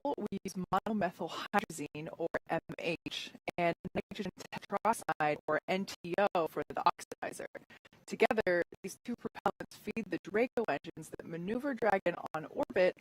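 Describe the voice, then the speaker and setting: Woman co-hosting over a noisy feed. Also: fairly low pitch. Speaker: launch broadcast co-host. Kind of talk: technical explanation on a live broadcast. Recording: broadcast feed with clear background noise.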